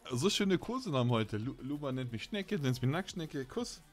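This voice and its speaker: A man's voice: medium pitch, very animated, normal speed, faint music behind. A man chatting casually on a gaming live stream, teasing and bantering playfully in crude, slangy language. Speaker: game streamer.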